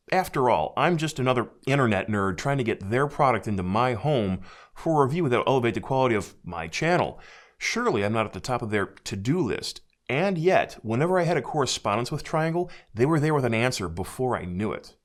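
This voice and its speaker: An American man narrating a scripted hi-fi speaker review in a measured, dryly self-deprecating way. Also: mid-range voice. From United States. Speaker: audio reviewer.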